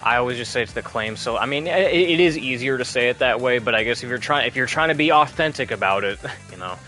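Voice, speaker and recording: A man's voice: medium pitch, animated, fast talker, sharp tone, soft background music. A man giving casual, chatty commentary while playing a video game, rambling and often restarting his sentences. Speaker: gameplay commentator. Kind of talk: casual let's play commentary.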